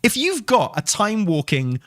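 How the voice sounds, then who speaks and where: A man with a slightly high, very lively voice reacts off the cuff as a gaming news host. He thinks aloud in an excitable, sweary way, his pitch rising and dropping widely. The recording is dry, without room sound. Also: normal speed, no room sound.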